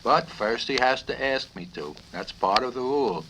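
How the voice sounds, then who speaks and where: A man's voice: medium pitch, animated, moderate pace, close to an everyday voice. A man voicing a matter-of-fact, stubbornly logical army doctor in an audiobook. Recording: noisy 1970s vinyl record.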